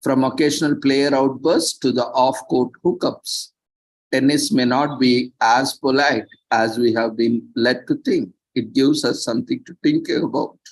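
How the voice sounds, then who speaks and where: A man presenting, reading prepared text with off-the-cuff asides, halting and stumbling over words at times. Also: medium pitch, steady tone, rough recording.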